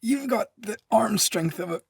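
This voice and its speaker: A woman as a cocky youngster, swooping and husky. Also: mid-range voice, animated, normal speed, no room sound, full character voice. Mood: mocking. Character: cocky, eager youngster of a Sith family.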